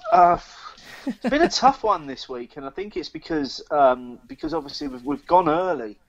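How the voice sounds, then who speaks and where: A man co-hosting a podcast in casual chat, speaking haltingly and repeating words as he thinks aloud. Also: high voice, big pitch swings, gravelly, lo-fi sound. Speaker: podcast co-host. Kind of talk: casual chat, beer review.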